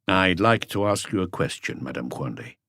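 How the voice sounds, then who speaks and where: A man playing a patient male police inspector who asks measured, pressing questions in an audiobook. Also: deep voice, animated, a bit bright, no echo, studio quality, heavily acted. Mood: patient, probing. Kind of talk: audiobook character voice.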